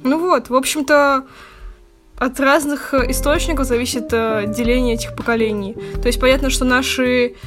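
A woman's voice: high voice, steady tone, moderate pace, over music. A woman of twenty co-hosting a podcast, telling stories in a chatty way with slang. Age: 20s. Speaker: podcast co-host. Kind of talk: casual chat.

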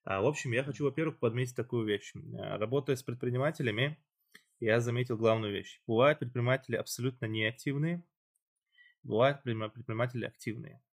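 A man's voice: mid-range voice, quick pace. A man hosting a casual chat, explaining at length with loose phrasing and fillers. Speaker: podcast host.

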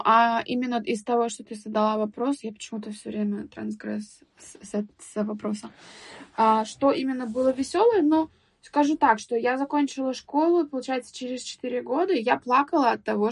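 Breathy higher-voiced young woman, hesitant. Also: no echo. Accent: Russian speaker living in England. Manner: thoughtful, hesitant, many fillers and restarts. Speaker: guest. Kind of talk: casual podcast interview.

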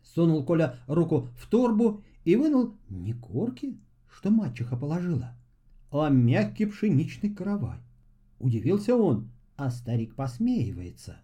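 Expressive high-pitched man telling fairy tales. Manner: expressive, unhurried folk storytelling. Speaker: storyteller. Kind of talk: scripted fairy-tale narration for children.

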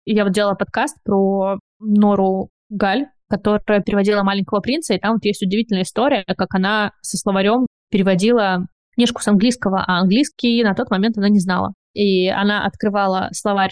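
A woman speaks as a podcast guest in a relaxed, thoughtful way, pausing on fillers and laughing lightly. Her pitch sits in a middle range. The recording is dry and close. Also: clean tone, dry recording. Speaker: podcast guest, bookshop owner. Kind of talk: casual interview chat.

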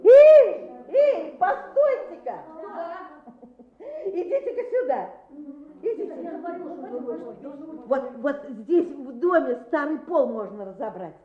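A woman's voice: sits high, very animated, slow pace, pauses often, heavily acted. An actress playing a greedy, suspicious old village woman who grumbles and bosses in a radio play. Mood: bossy, calculating. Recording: roomy, dull old radio recording.

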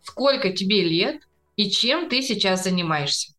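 A woman's voice: mid-range voice, expressive, measured pace, fairly bright tone, soft background music. A woman hosting a podcast, presenting and putting questions to a guest in an interview. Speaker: podcast host.

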